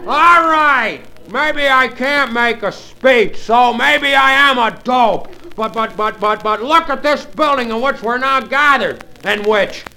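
A man's voice: very high-pitched, slow pace, hardly any pauses, sharp tone, exaggerated voice, low-quality recording. An actor playing a nervous, stammering small-town young man in a 1940s radio comedy. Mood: fiery. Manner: stammering, flustered.

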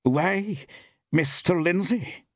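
A man voicing a cool, inquisitive village shopwoman with a slow, deliberate delivery, in an audiobook story. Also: a little high, big pitch swings, no echo, studio quality, pitched above the performer's own voice, exaggerated voice. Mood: surprised.

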